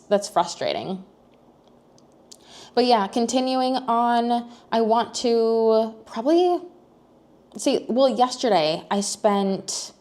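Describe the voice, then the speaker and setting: A woman's voice: medium pitch, a little mellow. American girl vlogging, rambly, lots of likes and um's, goes up at the end of questions. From United States. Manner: rambling, thinking aloud with many fillers and restarts. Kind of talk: casual personal vlog.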